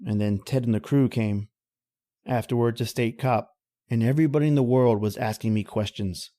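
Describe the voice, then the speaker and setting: An actor playing a middle-aged former oil-rig worker, telling an old-time radio horror tale in folksy, slangy, hesitant speech. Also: male voice, mid-range voice, normal speed, warm tone, lightly acted. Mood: haunted storytelling.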